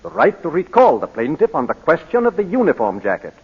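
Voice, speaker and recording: Husky, courteous British barrister. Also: male voice, fairly high pitch, huge pitch swings, full character voice. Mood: formal, deferential. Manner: measured, deferential, formal. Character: courteous, formal British barrister, patient defender of client. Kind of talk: 1940s radio drama acting. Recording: dull, lo-fi 1940s radio recording.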